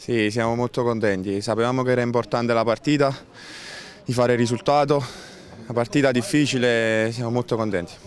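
A man's voice: medium pitch, steady tone, a little fast. A young man, a goalkeeper, answering outdoors after a match in short upbeat phrases. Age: young adult. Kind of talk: post-match interview. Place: outdoors by a football pitch. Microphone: handheld interviewer mic.

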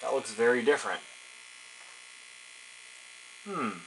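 A man's voice: mid-range voice, lively intonation, takes it slowly, gravelly, rough recording. Casual man thinking aloud, some background noise. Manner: informal, musing to himself while working. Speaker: electronics hobbyist narrating his build. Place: cluttered indoor electronics workbench.

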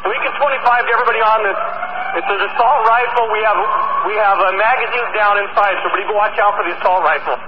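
A man's voice: high-pitched, quick pace. A male police officer giving urgent reports and requests over a two-way police radio. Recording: noisy muffled police radio transmission.

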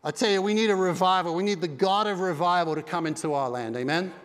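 A middle-aged pastor preaches with emphatic energy, his voice pitched high for a man. He speaks into a headset mic, and the big church hall rings with a long reverb behind him. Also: fast talker, fairly bright tone, clear, resonant tone. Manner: emphatic preaching with broad hand gestures. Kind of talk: sermon. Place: large dark church stage.

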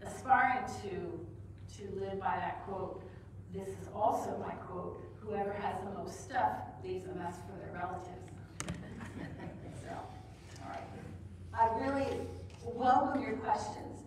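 A chatty, blunt woman in her sixties gives practical advice to a room of listeners. She is picked up from a distance. The conference room is very echoey, with steady noise behind her. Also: mid-range voice. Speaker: speaker on decluttering. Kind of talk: casual talk to a live audience. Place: conference room, standing before the audience. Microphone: far room mic.

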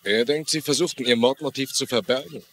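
A man's voice: mid-range voice, fairly quick, creaky, fairly bright tone, slight background noise. An actor playing a stern, determined man pressing for answers in a German-dubbed TV crime drama, firm and blunt. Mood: stern, insistent. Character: determined, stern son of accused man, official-looking.

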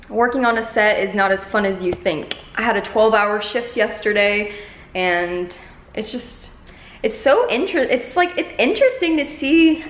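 A woman in her twenties chatting casually and informally to camera as a vlogger, holding it at arm's length indoors. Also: on the high side. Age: 20s. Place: medium-sized living room indoors. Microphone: camera held at arm's length. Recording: echoey bare room.

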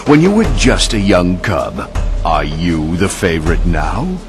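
Arrogant leopard villain for a game, deep menacing taunts, swooping delivery over a music bed. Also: male voice, very animated, a little slow, full character voice. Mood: arrogant taunting. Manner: taunting, dramatic, theatrical delivery. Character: menacing, arrogant snow leopard villain, powerful adult male. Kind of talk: video game cutscene acting.

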